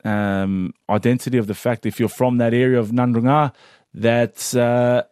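Male podcast co-host, teasing, easy laugh, dry close mic, mid-pitched. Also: little pitch movement, moderate pace, a little mellow, clear, resonant tone, no room sound. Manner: laughs often, teasing and upbeat. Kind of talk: casual chat.

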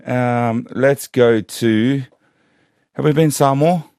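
A man co-hosting a podcast in casual chat, relaxed and self-mocking, tripping over words now and then. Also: mid-range voice, slow pace, clear, resonant tone, no echo, studio quality. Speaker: podcast co-host.

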